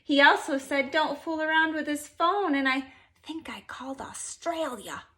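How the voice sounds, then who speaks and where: Bright, slow-paced woman reading a storybook aloud. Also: high-pitched. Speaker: storybook reader. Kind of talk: expressive children's picture book read-aloud. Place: living room at home, indoors.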